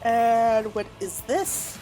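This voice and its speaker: A woman's voice: fairly high pitch, fairly even intonation, extremely slow, warm tone, faint music behind, low-quality recording. A woman giving casual let's play commentary as a game streamer, thinking aloud and reacting as she plays.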